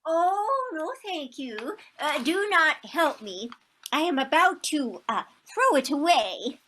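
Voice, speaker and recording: A woman playing a frail but feisty, stubbornly proud old lady in a stage comedy, deliberate and theatrical. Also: sits high, very animated, sharp tone, exaggerated voice. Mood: brisk, determined. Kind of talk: stage comedy acting, one-act play.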